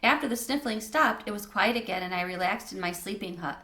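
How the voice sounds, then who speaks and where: A woman narrating a children's storybook aloud with expressive reading. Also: mid-range voice, brisk. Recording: echoey room.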